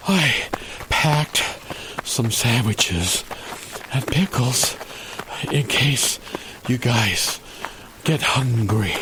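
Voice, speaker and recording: A man acts out a middle-aged dad who is forgetful, earnest and a bit clueless. He bumbles along in a family comedy radio play, at an ordinary male pitch. The recording has clear noise and clicks behind him. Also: animated, drawn-out words. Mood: offended. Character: clueless, forgetful dad fussing over sandwiches.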